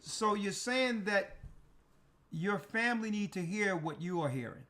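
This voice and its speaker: A man hosting a church livestream as an elder, taking callers and giving brisk directions. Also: high-pitched, lively intonation, bright, forceful tone. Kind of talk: livestream call-in talk.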